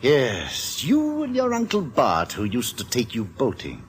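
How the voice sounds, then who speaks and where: A fussy droll gent sidekick, very swoopy delivery, noisy old radio recording. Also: male voice, medium pitch, wildly swooping pitch, measured pace. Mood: droll, scoffing. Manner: droll, affected, exclamatory. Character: arch, fussy, scoffing gentleman sidekick. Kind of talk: 1940s radio acting.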